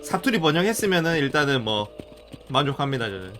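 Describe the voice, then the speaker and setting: A man's voice: medium pitch, very animated, fast. A young man casually commenting to viewers during a live game stream, musing aloud as he plays.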